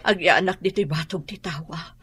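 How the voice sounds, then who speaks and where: A woman's voice: lowish voice, a little fast, a bit bright, a little hiss or hum, lightly acted. A woman playing a weary, suffering, impoverished mother in a radio drama. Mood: weary, worried.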